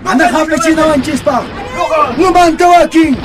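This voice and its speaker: Man acting a high-pitched, bellowing battle leader. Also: heavy background noise. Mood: commanding, fierce. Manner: urgent bellowing, drawn-out battle cries. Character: fierce male battle leader rallying fighters in colonial Andes. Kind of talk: radio drama acting. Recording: loud battle crowd din around the voice.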